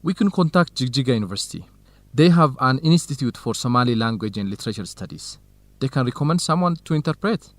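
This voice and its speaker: An actor with an Ethiopian accent playing a polite, modest research partner in an educational radio drama, speaking earnestly. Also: male voice, medium pitch, lively intonation, quick pace, no echo, natural voice. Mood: practical, helpful. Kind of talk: radio drama acting, measured educational dialogue.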